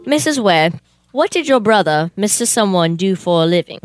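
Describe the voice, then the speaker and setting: A young woman playing a businesslike, easily exasperated male detective in a comic old-time radio play, questioning in a deadpan way. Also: mid-range voice, moderate pace, faint music behind, natural voice.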